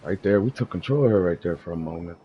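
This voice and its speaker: A man with a low voice streams a game. He talks in casual, offhand commentary. There is a little noise in the background. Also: expressive, fast talker, highs cut off. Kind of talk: casual live gameplay commentary. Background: faint noise and ambience.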